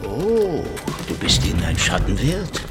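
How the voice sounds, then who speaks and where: A man's voice: lowish voice, wildly swooping pitch, unhurried. An actor playing a hard-boiled, weary Soviet secret policeman in a German radio crime drama, wry, sarcastic and laconic. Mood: wry, guarded. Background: music underneath.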